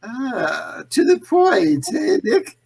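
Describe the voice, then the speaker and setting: Theatrical, husky, gloating villain. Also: male voice, very high voice, wildly swooping pitch, very slow, a little mellow, full character voice. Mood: mocking, menacing. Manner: pompous, drawling, mock-courteous. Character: suave, theatrical, gloating male escaped-convict villain and gang boss. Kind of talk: 1940s radio drama acting.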